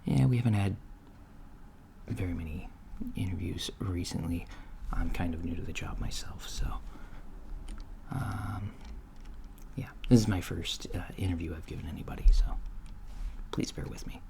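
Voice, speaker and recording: A man playing an awkward, apologetic novice alien job interviewer in a soft, hesitant ASMR roleplay. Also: sits low, vocal fry. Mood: polite, hesitant. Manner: hesitant, halting, mumbling asides. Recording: lo-fi, steady noise, keyboard typing. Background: computer keyboard typing.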